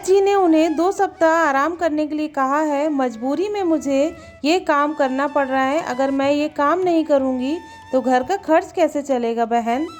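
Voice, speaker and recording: Cartoon farmer's wife, woman performer: very high voice, dramatic village homemaker with a scheming streak. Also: moderate pace, hardly any pauses, soft background music, close to an everyday voice. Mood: regretful. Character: farmer's scheming, later remorseful young wife. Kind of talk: Hindi moral story cartoon dialogue.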